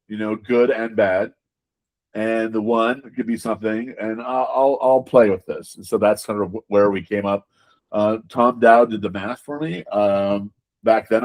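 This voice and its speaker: A man being interviewed as a game designer, talking casually and haltingly, often repeating words as he explains. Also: lowish voice, darkish tone.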